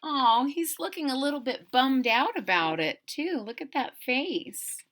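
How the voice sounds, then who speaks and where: A woman reading a children's storybook aloud with expressive, dramatic emphasis and chatty asides to young listeners. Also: high-pitched, a little slow.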